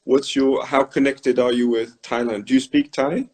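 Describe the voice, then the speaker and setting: Man, middle-aged, casual interviewer, mid-range pitch, thoughtful, hesitant phrasing. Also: steady tone, moderate pace, a little mellow. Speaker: interviewer, host.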